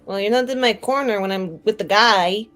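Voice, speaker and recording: A woman's voice: mid-range voice, normal speed, fairly bright tone, faint music behind, natural voice. A woman improvising an uneasy but game rebel mage in a tabletop roleplaying session, speaking casually and hesitantly with lots of slang. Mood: doubtful. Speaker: tabletop role-playing player. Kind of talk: casual improvised in-character actual play.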